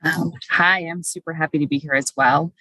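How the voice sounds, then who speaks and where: A woman's voice: deep voice, fairly even intonation, normal speed, bright, forceful tone, breathy edge, no room sound, poor audio. A woman, a school psychologist, speaking casually on a panel with frequent ums.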